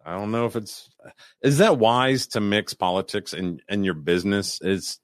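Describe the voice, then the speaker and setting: A man co-hosts in an easygoing, rambling way, often restarting his sentences. His voice sits very low, and the sound is dry and close with no room echo. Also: normal speed. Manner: rambling, many restarts and fillers. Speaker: podcast co-host. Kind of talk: casual chat.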